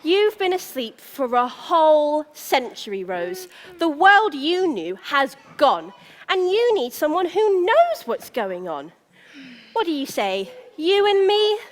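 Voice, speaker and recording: Lady as a smug young prince in panto, high lively swoopy voice, shallow show-off, stage acting. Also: slow pace, full character voice. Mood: wooing, impatient. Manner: self-satisfied, theatrical. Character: vain young prince, shallow, self-absorbed vlogger. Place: indoor theatre stage. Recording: echoey theatre hall, long reverb.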